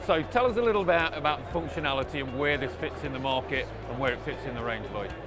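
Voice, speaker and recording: A man's voice: mid-range voice, fast. A man hosting a conversational product interview, explaining points and prompting his guest with tag questions. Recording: background music under the voice.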